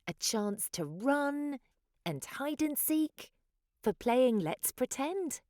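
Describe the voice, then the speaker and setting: A woman's voice: medium pitch, darkish tone, no echo, studio quality. A woman reading a children's picture book aloud in a dramatic, sing-song rhyming delivery. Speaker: children's story reader.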